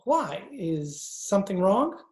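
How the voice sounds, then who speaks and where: An actor playing a cultured, nervous judge and pool hustler, speaking in a fussy, theatrical old-time radio style. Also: male voice, sits high, sing-song, slow, heavily acted. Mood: wary, curious. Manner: pompous, fussy, theatrical speech. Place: indoor room, recorded over video call. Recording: roomy, echoing video-call recording.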